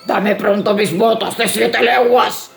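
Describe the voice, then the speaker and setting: A storybook ogre, acted out by a woman, gravelly and brooding, dragging out each snarling word. The voice rings in a roomy echo with music underneath, menacing and vengeful. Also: on the high side, steady tone, slow, full character voice. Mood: commanding. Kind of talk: dramatized fairy-tale audiobook.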